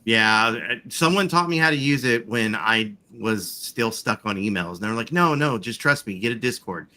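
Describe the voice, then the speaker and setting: A man's voice: lowish voice, lively intonation, moderate pace, bright, forceful tone. A man in his forties chatting casually, rambling with lots of fillers and the odd swear. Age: 40s. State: chronic back pain. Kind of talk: casual chat.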